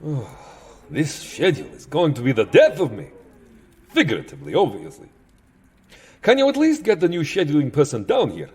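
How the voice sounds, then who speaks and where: A man's voice: fairly high pitch, wildly swooping pitch, normal speed, long pauses, darkish tone, breathy edge, full character voice. A man playing a weary, exasperated vampire entertainer in a comic audio drama, grumbling theatrically and petulantly.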